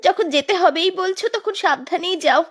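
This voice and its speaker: A woman's voice: very high voice, moderate pace. A woman playing a worried young village wife in a cartoon, talking anxiously to her husband.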